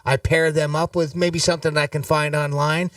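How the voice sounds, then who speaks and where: A man's voice: on the high side, little pitch movement, fairly quick, a bit bright, no echo, far from the mic. A man hosting a radio show, chatty and upbeat, running promos and segments. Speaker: radio host. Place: radio studio.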